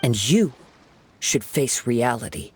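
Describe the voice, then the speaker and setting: A man playing a calm, principled young wandering samurai in a video game, speaking in measured, earnest and formal phrases. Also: medium pitch, slight background noise. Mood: stern, reproachful. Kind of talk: dramatic video game voice acting. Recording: music under the dialogue.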